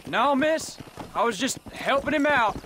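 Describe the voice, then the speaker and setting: An actor playing a weary frontier gunslinger, dry, sardonic and clipped, in a video game cutscene. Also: male voice, extremely high pitch, lively intonation, measured pace. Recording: game audio with outdoor ambience noise.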